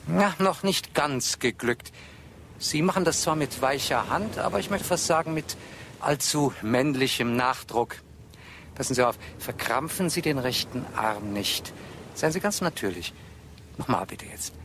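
An actor playing a courteous, attentive ship's officer, polite and precise, in a German radio drama. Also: male voice, mid-range voice, lively intonation, a little slow, background noise.

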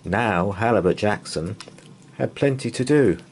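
Slow, expressive low-voiced man reading a story. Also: husky. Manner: expressive, dramatic storytelling with drawn-out pauses. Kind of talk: read-aloud children's bedtime story. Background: open fire ambience.

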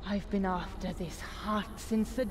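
An actress playing a menacing, grandiose villainess in a video game, with a theatrical, drawn-out, haughty delivery. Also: female voice, medium pitch, steady tone, noisy background, lightly acted. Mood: cold, gloating. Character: menacing, grandiose villainous woman claiming to be a goddess.

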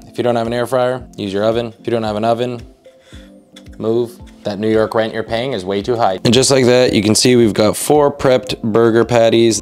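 A man's voice: fairly low pitch, a little mellow. A young man casually talking viewers through a meal prep recipe while he cooks, upbeat and joking. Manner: upbeat, jokey, talks to viewers while cooking. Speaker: fitness vlogger. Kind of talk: casual cooking vlog talk.

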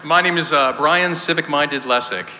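A man's voice: on the high side, moderate pace, a faint crowd, slight background noise. A man bantering casually as a panel moderator, with a flustered, self-deprecating manner. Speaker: panel moderator, podcast co-host. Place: large convention hall stage. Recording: echoey hall with loud audience.

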